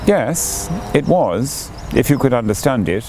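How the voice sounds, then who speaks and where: A man reading the part of an affectionate old sailor in a storybook, gentle and reminiscing. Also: mid-range voice, big pitch swings, measured pace, a little hiss or hum. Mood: gentle, assured.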